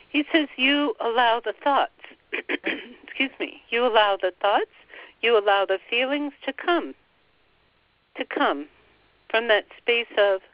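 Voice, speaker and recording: A woman leading a slow guided meditation, softly passing on a spiritual guide's words phrase by phrase. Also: on the high side, no room sound. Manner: soft, relaying every phrase with "he says". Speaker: channeler relaying a spiritual guide. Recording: dull, dry lo-fi recording.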